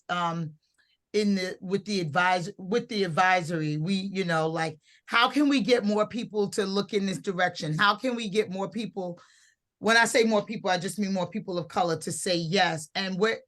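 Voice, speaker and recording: A woman's voice: medium pitch, fairly bright tone. A woman hosting a casual panel talk, earnest and rambling, with many restarts. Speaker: host.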